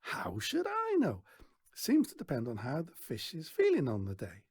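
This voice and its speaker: A man's voice: on the high side, wildly swooping pitch, moderate pace, gravelly, slightly rough, no echo, studio quality, full character voice. A man voicing a folksy, patronising fairground stallholder who mentors a boy in a storybook reading. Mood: offhand. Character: brisk, exasperated older fairground stallholder mentoring a lad.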